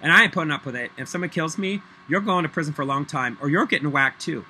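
A man's voice: a little high, fast, slight background noise, rough recording. Profane rambling middle-aged man on webcam. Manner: angry, profane, rambling; breaks into loud off-key singing. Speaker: vlogger. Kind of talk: rambling webcam monologue with singing. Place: small room at home, indoors. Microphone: laptop webcam.

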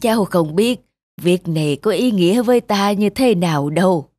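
A woman voicing a frail, gentle old father who speaks slowly and wistfully, in a cartoon. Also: mid-range voice, lively intonation, natural voice. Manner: slow, soft, wistful. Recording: music bed under voice.

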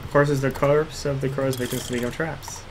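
A man's voice: medium pitch, steady tone, brisk, slight background noise. A man in casual chat with friends, guiding the one who is playing a video game.

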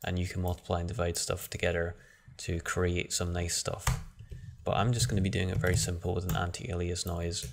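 A man giving a relaxed screen-recorded software tutorial, thinking aloud with frequent restarts. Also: low-pitched, fairly quick, creaky, background noise.

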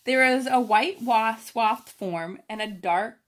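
A woman reads a children's story aloud with expressive emphasis, pausing to explain it informally. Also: a little high. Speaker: storybook reader.

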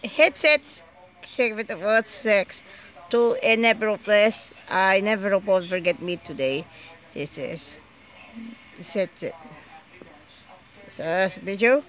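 A bright, forceful voice at a medium pitch presents a casual homemade unboxing. The delivery is slow and halting, with disjointed phrases and frequent repetition. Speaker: amateur video maker showing gadgets. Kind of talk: casual home unboxing video.

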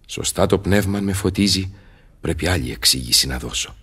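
A very deep, husky voice carries an old male scholar in a radio play. He is solemn and brooding, thinking aloud in theatrical, declaimed verse, every phrase weighted with learning. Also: expressive, bright, forceful tone, exaggerated voice. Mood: pensive. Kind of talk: theatrical Greek radio drama verse acting.

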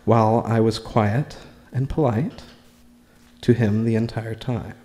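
An American man performs spoken word in a slightly low voice. He takes it very slowly, phrase by measured phrase. The live recording carries a long reverb from the room. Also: fairly even intonation, dark tone. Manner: deliberate, measured, pausing between short phrases. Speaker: spoken word performer reading own writing. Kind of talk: live open mic poetry and story reading.

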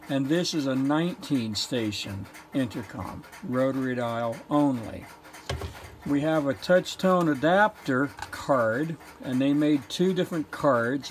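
A man giving an informal technical show-and-tell in a matter-of-fact, halting, explanatory way. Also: medium pitch. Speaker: presenter, telephone equipment collector.

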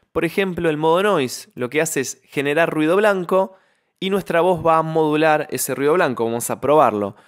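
A young man explaining music software in a tutorial, close on the mic in a home studio. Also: a little high. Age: young adult. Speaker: music production tutorial host. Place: small home studio with foam panels. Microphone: close studio microphone on a stand, with headphones.

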